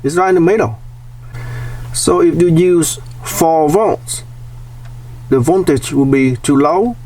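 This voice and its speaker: A man giving a casual hands-on DIY demonstration, explaining step by step in short, plain phrases. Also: a little high. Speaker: DIY tutorial host. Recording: distant mic, background noise.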